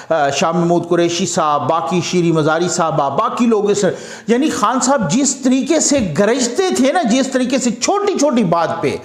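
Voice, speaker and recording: A man with a Pakistani accent giving emphatic, conversational political commentary as a journalist, repeating phrases to drive points home. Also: high-pitched. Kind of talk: political commentary straight to audience. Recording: echoey, roomy sound.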